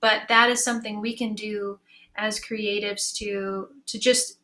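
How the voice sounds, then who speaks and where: Bright-voiced woman talking on a panel. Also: medium pitch, level tone, measured pace. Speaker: panelist, audio drama creator. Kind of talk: casual panel talk.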